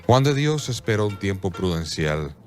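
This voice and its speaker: Male narrator for a religious radionovela: lowish voice, measured and solemn, light music underneath. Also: big pitch swings. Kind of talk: scripted radio drama narration.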